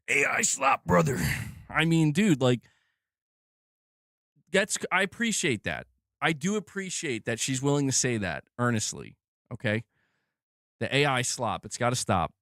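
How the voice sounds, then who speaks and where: A man commenting casually on gaming news during a live stream, animated and joking, often laughing and drifting into asides. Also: medium pitch, a little slow, long pauses, dry recording, studio quality.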